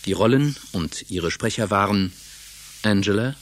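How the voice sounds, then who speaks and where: Lowish-voiced male radio announcer. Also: measured pace. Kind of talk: formal scripted credits announcement.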